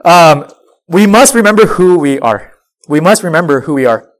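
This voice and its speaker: A young man with a cold, sniffling, giving an earnest sermon to a high school youth group with self-deprecating asides. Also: animated, normal speed. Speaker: youth pastor.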